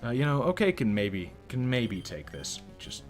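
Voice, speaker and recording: A man's voice: medium pitch, sing-song, moderate pace, faint music behind. A man giving casual, profane, rambling commentary while playing a video game and reacting to chat. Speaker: let's play streamer.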